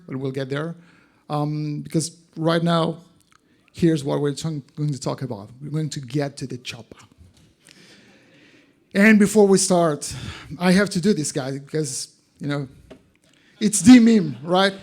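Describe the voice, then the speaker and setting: A man with a Portuguese accent giving an informal live presentation, talking directly to his audience in a conversational way. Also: on the high side. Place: in front of an audience at an expo.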